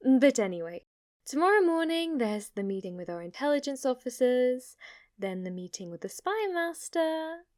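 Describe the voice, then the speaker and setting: An obsessive demon maid, played by a woman in an intimate ASMR roleplay. She is flirty and submissive, speaking to her master with reverent, fanatical devotion and slipping at times into breathy whispers. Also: on the high side, a little mellow. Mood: playful, servile.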